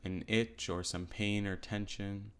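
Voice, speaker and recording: Smooth low-voiced man guiding meditation. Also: flat delivery. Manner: gentle, unhurried instruction with pauses. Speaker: meditation teacher. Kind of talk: guided mindfulness meditation.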